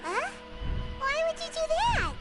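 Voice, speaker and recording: Very high squeaky tiny fairy. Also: female voice, wildly swooping pitch, a little slow, music in the background, full character voice. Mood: puzzled. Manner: squeaky, speaks of self in third person. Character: tiny excitable childlike fairy companion, naive and chatty. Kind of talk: animated game voice acting.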